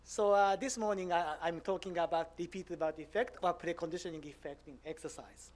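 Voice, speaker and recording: A man in his fifties from Japan, speaking English with a Japanese accent, giving a conference lecture with many "uh" pauses. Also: on the high side, steady tone. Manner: hesitant, frequent "uh" fillers, simple non-native grammar. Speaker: visiting professor giving a lecture.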